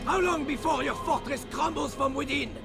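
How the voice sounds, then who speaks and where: A man's voice: very high voice, normal speed, gravelly, music in the background, heavily acted. An actor playing an arrogant, threatening knight commander in a video game, declaiming his lines with menace. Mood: menacing, defiant. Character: arrogant, threatening male Templar knight commander besieging a fortress. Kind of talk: dramatic video game acting.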